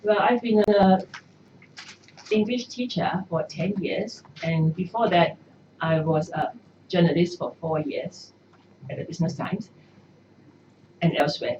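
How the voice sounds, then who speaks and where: A woman's voice: lowish voice, unhurried, long pauses, a little mellow. Woman candidate, echoey room. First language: Cantonese. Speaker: party candidate. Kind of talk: press conference answers. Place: party office press room. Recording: echoey room, far mic, dull noisy.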